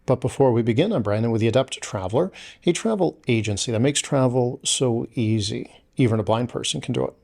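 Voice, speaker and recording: A man hosting a travel news show, reading a script in a measured, formal way. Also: medium pitch, animated, gravelly, a little mellow.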